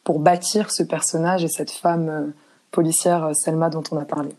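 A woman with a slightly low-pitched voice hosts a podcast interview. She speaks conversationally, putting questions to a guest in an informal spoken flow. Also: little pitch movement, normal speed, warm tone.

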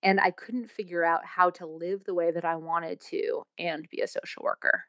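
A woman's voice: on the low side, level tone, moderate pace, fairly bright tone, dry recording, highs cut off. A woman who works as a coach and energy healer, speaking thoughtfully in an interview with frequent fillers. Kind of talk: reflective interview talk.

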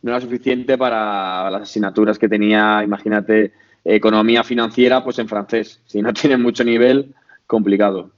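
A man's voice: on the low side, fairly even intonation, moderate pace. Guest, man, late 20s, Madrid accent, hesitant and chatty, dull lo-fi audio. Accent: Spain Spanish. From Spain, Madrid. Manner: hesitant, many fillers and restarts. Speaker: podcast guest. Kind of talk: casual interview chat.

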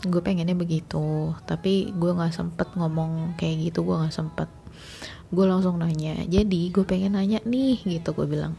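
A woman's voice: fairly low pitch, gravelly, dark tone. A woman, telling a story in a rambling, chatty way full of fillers. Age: late 20s. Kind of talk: casual storytelling monologue.

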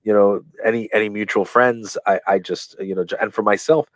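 A man telling a story in a casual interview, rambling with many fillers and restarts, in a big booming voice. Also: fairly even intonation, brisk, dry recording. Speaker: guest.

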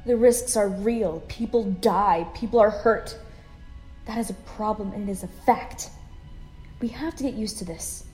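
A woman's voice: mid-range voice, unhurried, warm tone, lightly acted, poor audio. Woman doing a caring starship officer, firm but kind, music behind. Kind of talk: audio drama acting.

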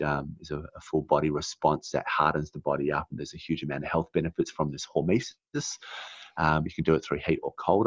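A man raised in Australia after a New Zealand childhood, speaking as an interview guest in an earnest, halting way with many fillers. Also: extremely low pitch, slightly rough, no echo, lo-fi sound. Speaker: guest, naturopath. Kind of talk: casual interview chat.

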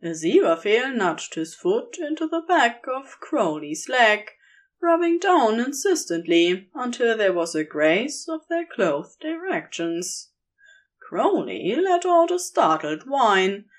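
A woman reading intimate fiction as a narrator, in a measured, expressive storytelling manner. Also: fairly high pitch. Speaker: audiobook-style podfic narrator.

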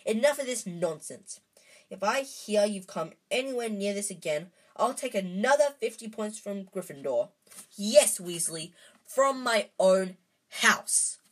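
A woman's voice: medium pitch, expressive, a little slow, voice pushed up, low-quality recording. A woman doing a stern scolding professor. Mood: angry scolding. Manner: scolding, clipped.

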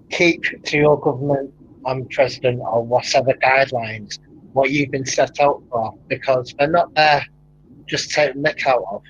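A man's voice: muffled recording, slight background noise. A man from Manchester with a broad Mancunian accent, chatting casually and animatedly, often laughing and telling stories. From England (Manchester). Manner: animated storyteller, laughs often, rising pitch when excited. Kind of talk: casual chat.

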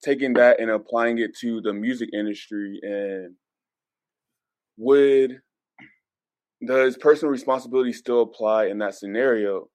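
A man's voice: medium pitch, fairly even intonation, measured pace, long pauses, darkish tone, no echo. A man talking in a casual, slangy and insistent way, as in a group podcast chat. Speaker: podcast panelist. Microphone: remote call mic.

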